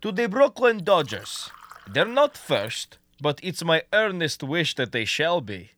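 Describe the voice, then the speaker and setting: An actor playing a wry, talkative Russian comrade who loves baseball and explains things expansively in a radio comedy. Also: male voice, on the high side, sing-song, measured pace, a bit bright, dry recording, clean studio sound. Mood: jovial, insistent.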